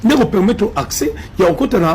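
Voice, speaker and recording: Congolese man, high lively voice, fiery street-level political commentator, faint background hiss. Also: fast talker, gravelly. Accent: Congolese French. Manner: emphatic, repetitive, mixes in Lingala.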